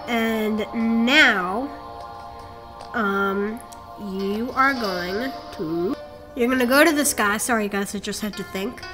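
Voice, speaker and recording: Slow, rambling woman over game music. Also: mid-range voice. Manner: rambling, self-correcting, instructive. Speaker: game walkthrough narrator. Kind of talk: casual game walkthrough commentary. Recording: game music playing behind the voice.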